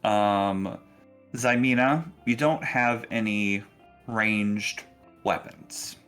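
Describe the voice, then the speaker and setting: A man acting as game master, narrating casually and haltingly with frequent pauses. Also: lowish voice, fairly bright tone, soft background music. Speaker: game master of a tabletop role-playing game.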